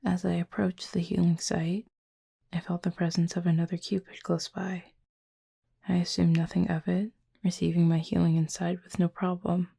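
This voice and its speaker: A woman playing a gentle, wistful Cupid roommate in an intimate ASMR roleplay, speaking softly and slowly in a breathy near-whisper. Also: on the low side, level tone. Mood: quiet, reflective. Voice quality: soft, breathy, husky, often near-whisper. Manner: slow, measured, formal storytelling with soft pauses.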